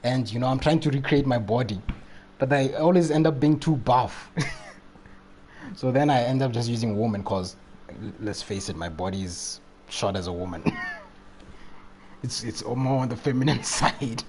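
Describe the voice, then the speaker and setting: A man's voice: medium pitch, normal speed, long pauses, darkish tone, a little hiss or hum. A man doing casual live game commentary and chatting with viewers in a playful, rambling, reactive way. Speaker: game livestreamer.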